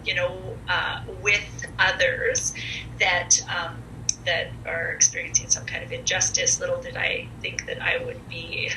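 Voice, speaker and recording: A woman in her forties, a podcast guest with a loud, comfortable voice, telling her story in a chatty, candid way full of fillers. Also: fairly low pitch, little pitch movement, a little slow. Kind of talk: casual conversational storytelling. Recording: echoey room with faint noise.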